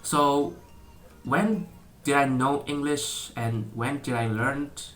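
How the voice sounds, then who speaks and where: Young man, Indonesian accent, bright tone, hesitant casual vlog talk, soft music behind. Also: mid-range voice, steady tone, slow. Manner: hesitant, many fillers and restarts, laughs often. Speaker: vlogger.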